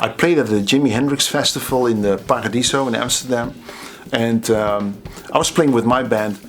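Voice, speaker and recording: A man's voice: mid-range voice, moderate pace, soft background music. Chatty Dutch-accented middle-aged man. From Netherlands. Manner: chatty, anecdotal, laughs at his own stories. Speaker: drummer. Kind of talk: casual storytelling to camera.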